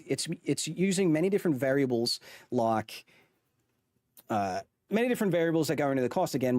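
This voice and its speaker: A man's voice: mid-range voice, darkish tone, no echo, studio quality. A man streaming to his chat, putting on a mock Australian accent as he rambles through a chart with lots of restarts. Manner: rambling, with frequent restarts and self-corrections. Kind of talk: casual livestream commentary on a chart.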